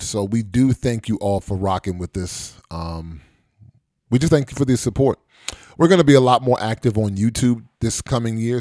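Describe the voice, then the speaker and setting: Easygoing, low-voiced male podcast host. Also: a little mellow, no room sound, studio quality. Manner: easygoing, rambling with many fillers and restarts. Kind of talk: casual podcast chat.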